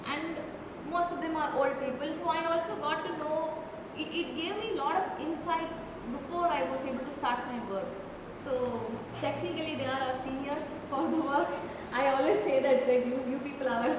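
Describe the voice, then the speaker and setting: A woman from Tamil Nadu with an Indian Tamil accent, speaking unscripted as a presenter on a panel and often repeating words as she goes. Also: sits high. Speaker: photographer presenting a residency project. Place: large reverberant indoor event space. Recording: very echoey hall, clear background noise.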